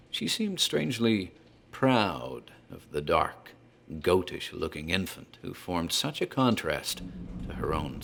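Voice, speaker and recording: An actor playing a talkative tavern landlord who tells a grim tale in a deliberate, theatrical horror drama style. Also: male voice, low-pitched, vocal fry, a bit bright, a little hiss or hum, exaggerated voice. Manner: deliberate, theatrical storyteller, literary wording. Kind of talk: dramatized horror storytelling, scripted narration.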